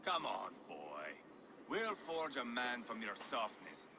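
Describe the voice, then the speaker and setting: A man's voice: medium pitch, big pitch swings, takes it slowly, noisy background. An actor playing a menacing, bloodthirsty Viking warrior in a video game, taunting and swaggering as he talks. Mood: sneering.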